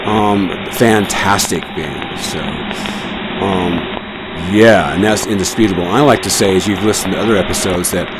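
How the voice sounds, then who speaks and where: Man talking over loud shortwave static. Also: deep voice. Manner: rambling, musing. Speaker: radio host. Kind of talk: casual radio talk. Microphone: studio mic heard over shortwave.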